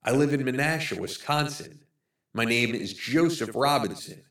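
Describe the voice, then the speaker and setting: A man voicing a lost, starving man who pleads in a soft, hushed, higher voice. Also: animated, moderate pace, reverberant, far from the mic. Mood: desperate.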